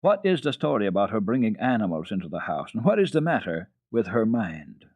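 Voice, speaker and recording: A man playing a genial, wry gentleman father with a brisk, courteous manner in a children's storybook reading. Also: lowish voice, sing-song, no room sound. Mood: hearty.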